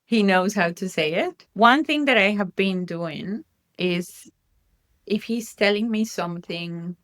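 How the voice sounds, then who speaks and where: A woman's voice: medium pitch, takes it slowly, fairly bright tone, no echo, studio quality. A woman from Colombia with a Colombian Spanish accent, a native Spanish speaker talking reflectively as a guest parent, with many restarts. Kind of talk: casual conversation.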